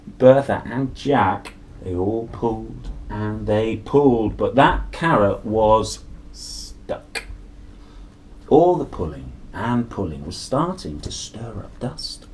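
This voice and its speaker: Male picture-book reader, mid-pitched, very lively intonation, playful and exclamatory for a young audience, some background noise. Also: rough recording. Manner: playful, exclamatory, drawn-out storytelling for children. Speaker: host reading picture books aloud. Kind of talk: animated storybook read-aloud with chatty asides.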